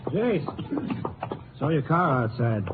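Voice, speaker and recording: A man's voice: a little high, very animated, takes it slowly, faint music behind. An actor playing a casual, folksy lawman who assists a Ranger in an old radio western. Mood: brisk. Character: male lawman, the Ranger's eager, folksy colleague. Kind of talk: 1950s radio western acting. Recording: tinny, faintly noisy old radio recording.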